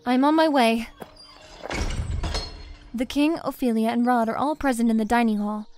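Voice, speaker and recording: A haughty young crown princess played by a woman narrates in a fairly high voice. Her delivery is formal and disdainful, in a visual novel style, over faint music. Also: normal speed, darkish tone, lightly acted. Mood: cold, disdainful. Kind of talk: scripted first-person visual novel narration.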